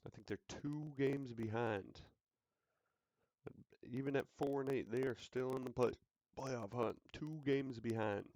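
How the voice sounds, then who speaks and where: A man's voice: medium pitch, steady tone, unhurried, a little mellow, dry recording. A man hosting a casual sports talk show, joking and hyped, repeating his lines for effect. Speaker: sports podcast host.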